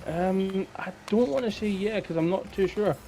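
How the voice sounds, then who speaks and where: A man's voice: high-pitched, moderate pace, gravelly, warm tone, slight background noise, low-quality recording. A man with a Scottish accent telling casual anecdotes as a guest on a podcast.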